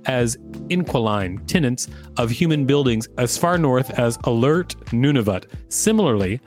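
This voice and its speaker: Male podcast co-host, playful reader with mid-range pitch, faint music underneath. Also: expressive, normal speed, darkish tone. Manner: playful, joking. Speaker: podcast co-host reading encyclopedia articles. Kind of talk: read-aloud narration with casual banter.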